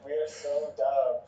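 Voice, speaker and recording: A young man whose first language is Swedish, joking and self-mocking through a quiz with a friend. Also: dark tone. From Sweden. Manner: playful, self-mocking, drops to low mumbles. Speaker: quiz game player. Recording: roomy, distant, dull lo-fi clip.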